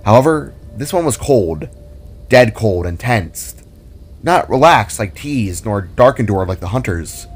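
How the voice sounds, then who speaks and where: Man narrating dramatically with lively pitch. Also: measured pace, breathy edge. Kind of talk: scripted dramatic story narration.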